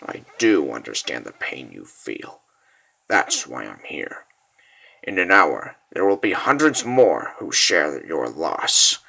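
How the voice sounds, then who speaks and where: A man voicing a grave, solemn mentor in a comic dub, speaking slowly and low. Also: very animated, gravelly, pushed and bright, slightly rough, exaggerated voice. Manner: slow, solemn, low-voiced. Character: grave, solemn mentor urging a warrior onward.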